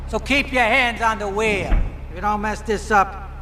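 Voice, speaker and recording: High-pitched sleazy mob boss. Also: male voice, moderate pace, sharp tone, a little hiss or hum. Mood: businesslike menace. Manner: slangy, bossy gangster talk. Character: sleazy, menacing male mob club boss handing out jobs. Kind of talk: video game cutscene acting.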